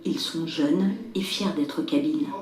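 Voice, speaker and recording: A woman's voice: low voice, measured pace, a crowd in the background. A woman reporting for radio, narrating and asking questions in a plain conversational way. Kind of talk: radio documentary narration and on-site interviewing. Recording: echoey room with faint music.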